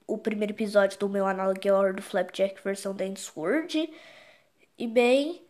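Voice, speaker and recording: A woman with a Brazilian accent giving a casual, unscripted recap commentary, rambling and repeating as the words are searched for. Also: a little high. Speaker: video creator explaining own animated horror episode.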